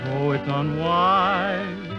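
A man sings a slow ballad in a very high, light tenor, drawing each phrase out. A full orchestra plays loudly behind him. The sound is dull and muffled, like an old radio broadcast. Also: over music. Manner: high tenor crooning, naive. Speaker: singer and comedy sidekick.

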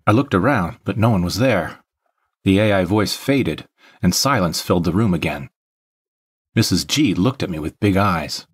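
Low-pitched male drama narrator. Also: lively intonation, normal speed, breathy edge, no echo, clean studio sound. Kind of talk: scripted first-person drama narration. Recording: faint music behind the voice.

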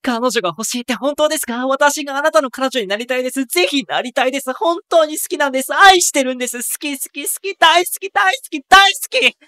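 A woman's voice: high voice, fast, few pauses, sharp tone, dry recording, clean studio sound. A woman playing an obsessive, lovesick teenage girl in an anime audio drama, pleading fervently. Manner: fervent, repetitive.

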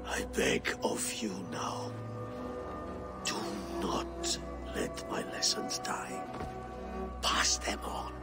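Dying old Norse chieftain, very slow and halting, creaky, breathy, proud tender father, game drama. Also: low voice, sing-song, heavily acted. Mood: weak pleading. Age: older. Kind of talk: dramatic video game cutscene acting. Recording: loud music behind the voice.

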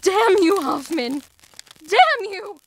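A woman's voice: very high-pitched, big pitch swings, slow pace, full character voice. A woman playing a cruel, taunting muse, a writer's imagined creation, in a theatrical audio drama style. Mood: panicked, furious. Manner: theatrical, mocking. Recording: loud noisy background.

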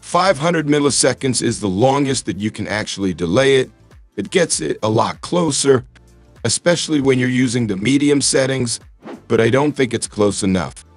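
A man's voice: medium pitch, lively intonation, normal speed, faint music behind. A man speaking through an AI voice changer as an intense American film actor, demonstrating in tutorial style. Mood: explanatory.

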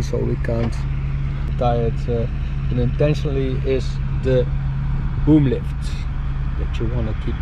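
Man giving a tour over clear background noise. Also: medium pitch, muffled recording. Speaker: boat owner giving a walkaround tour. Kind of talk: informal explanatory tour commentary. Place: aboard a sailing catamaran in a marina, in bow compartments and on deck.

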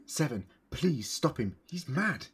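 An actor playing a wry, defiant captive adventurer pleading in an audio drama, dramatic and expressive. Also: male voice, medium pitch, measured pace, breathy edge, exaggerated voice. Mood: indignant. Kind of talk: fan audio drama acting.

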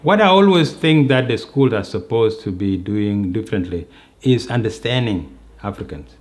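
A middle-aged man giving a measured, explanatory interview, seated indoors and working through examples. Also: lowish voice, animated. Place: indoor sitting room.

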